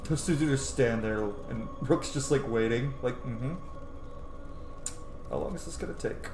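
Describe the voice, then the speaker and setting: A man's voice: medium pitch, measured pace, noisy background. A man in his thirties giving casual, enthusiastic commentary on a video game. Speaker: streamer reacting to a game cutscene. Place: indoor room at home. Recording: roomy echo, game music behind. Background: game music.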